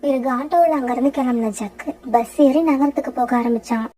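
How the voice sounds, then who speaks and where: Calm, high-voiced woman narrating a story. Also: brisk, breathy edge.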